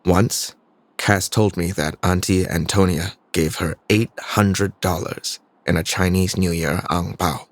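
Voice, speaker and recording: A man's voice: deep voice, fairly even intonation, unhurried, dry recording. A young man performing a scripted dramatic monologue as a troubled, introspective student telling his own life. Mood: reflective.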